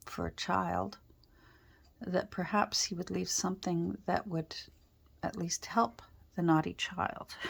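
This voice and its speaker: A woman with a stuffy, congested cold, chatting casually while she crafts, rambling softly and often dropping into a whisper. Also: on the low side, gravelly, husky. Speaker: journal-making crafter. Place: indoors at a craft worktable.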